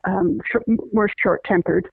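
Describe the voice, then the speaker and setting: A woman's voice: mid-range voice, very animated, a little slow, creaky, breathy edge, no room sound. Woman guest, middle-aged, earnest explainer with many restarts; dry phone-line sound. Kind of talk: conversational interview, explaining.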